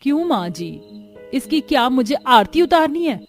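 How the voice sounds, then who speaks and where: An earnest village housewife of a cartoon story, acted by a woman, speaks in a high voice. Her delivery is plaintive and emphatic, with music playing behind her. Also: sing-song, normal speed. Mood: exasperated. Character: village women, daughters-in-law and mothers-in-law, earnest and plaintive.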